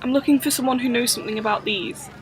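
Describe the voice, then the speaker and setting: Woman playing an earnest, higher-voiced young companion. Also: fast, slight background noise, lightly acted, poor audio. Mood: puzzled. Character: bewildered, earnest young female time-traveller's companion. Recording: music behind.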